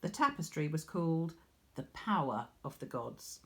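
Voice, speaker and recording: A woman reading a story aloud in a measured, expressive storytelling manner, like a teacher. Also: sits low. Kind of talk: scripted storybook narration.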